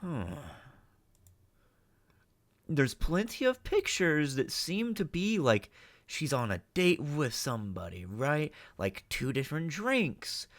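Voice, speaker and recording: A man giving casual reaction commentary, thinking aloud in a halting, tentative, musing way. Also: fairly high pitch, very animated, no room sound. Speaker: host of a reaction channel.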